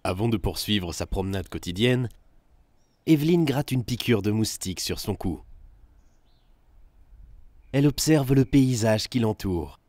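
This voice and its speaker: A man's voice: on the low side, lively intonation, measured pace, pauses often, darkish tone, no room sound. A man reading scripted third-person narration for a film.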